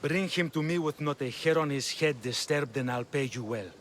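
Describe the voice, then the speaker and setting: An actor playing a pompous, wealthy older exile, smug and condescending, in a video game cutscene. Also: male voice, fairly high pitch, moderate pace, fairly bright tone, a little hiss or hum, lightly acted. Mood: pleading. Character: pompous, wealthy old exiled Athenian man.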